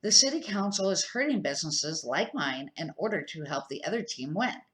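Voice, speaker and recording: A woman's voice: lowish voice, expressive, normal speed, a bit bright. An earnest, principled man who runs a food truck, voiced by an older woman reading a storybook. He explains things emphatically and stands firmly by his views. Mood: persuasive.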